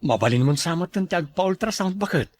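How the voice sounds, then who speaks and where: A man's voice: high-pitched, animated, fairly quick, a little mellow. A man with an Ilocano accent playing an eager young husband and new father in a radio drama. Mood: coaxing.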